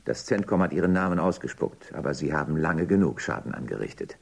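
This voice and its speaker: A stern dystopian official with a very deep, rough-edged voice. He speaks with animated, swinging intonation. His manner is officious and accusing, in radio drama style. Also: fast talker, full character voice. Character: stern bureaucratic male interrogator in a dystopian society. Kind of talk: German radio drama acting.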